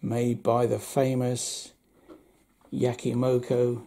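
A man in his seventies giving a casual, unscripted review with wry understatement, in a husky voice. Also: mid-range voice, monotone, slow pace, dark tone. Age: 70s. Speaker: audio equipment reviewer. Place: indoor room at home.

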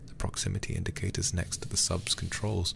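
A man streaming a horror game in a soft, intimate ASMR style close to the microphone, muttering and sometimes whispering. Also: sits low, level tone, brisk, vocal fry, slight background noise. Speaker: livestreamer playing a horror game.

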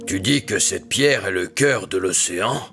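Gravelly sea king. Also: sits low, animated, moderate pace, fairly bright tone, soft background music, heavily acted. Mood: puzzled. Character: puzzled sea-king ruler of the ocean. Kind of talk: cartoon voice acting.

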